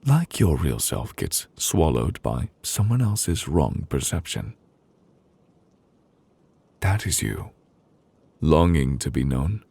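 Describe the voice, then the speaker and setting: Casting: actor as tender, solemn male fantasy judge for ASMR roleplay; extremely low, husky, close and reassuring. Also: big pitch swings, no room sound, heavily acted. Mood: soothing, earnest. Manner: slow, deliberate, gently reassuring with pauses. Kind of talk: intimate ASMR audio roleplay. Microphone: close, dry studio microphone.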